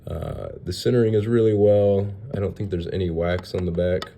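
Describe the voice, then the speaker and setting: A young man with a low, very dark voice, a little nasal and stuffy from a cold he is getting over. He rambles casually through his card collection, hesitating often and filling gaps with ums and uhs. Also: fairly even intonation, moderate pace, a little hiss or hum. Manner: rambling, hesitant, lots of fillers. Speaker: card collector showing graded cards. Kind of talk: casual unboxing vlog.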